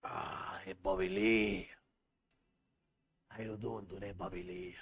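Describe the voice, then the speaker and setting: A man's voice: low voice, flat delivery, takes it slowly, gravelly, slightly rough. A young man hosting a comedic podcast, rambling and riffing with profanity and playful bits. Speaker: podcast host.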